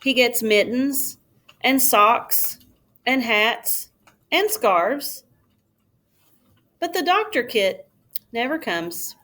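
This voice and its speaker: A woman reading a children's storybook aloud like a schoolteacher, in a careful, expressive storytelling manner. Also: fairly high pitch, drawn-out words, long pauses.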